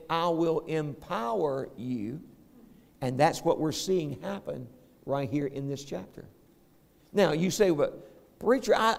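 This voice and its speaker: Pastor, middle-aged man, slow deliberate delivery, fairly high voice, long church reverb. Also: creaky. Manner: preacherly, building to loud emphatic peaks. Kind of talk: sermon. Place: church sanctuary.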